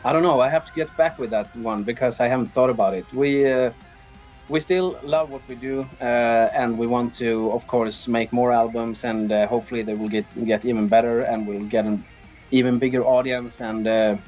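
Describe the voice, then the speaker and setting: A middle-aged man with a Swedish accent answers interview questions casually. He is easygoing and feels his way through sentences at a medium pitch. Faint music sits behind his voice. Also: steady tone, moderate pace, darkish tone, lo-fi sound. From Sweden. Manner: hesitant, easygoing. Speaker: interviewed band drummer.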